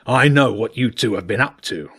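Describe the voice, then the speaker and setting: Gruff badger monarch for a children's audiobook, performed by a man: husky, imperious, terse, highly animated delivery. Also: moderate pace. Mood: menacing. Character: gruff, commanding old badger king, menacing. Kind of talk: audiobook character voice.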